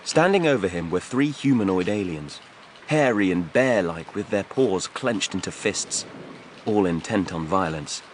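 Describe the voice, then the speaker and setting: A man's voice: low-pitched, lively intonation, a little slow, slight background noise. A man reading fiction as a dramatic audiobook narrator, from a script.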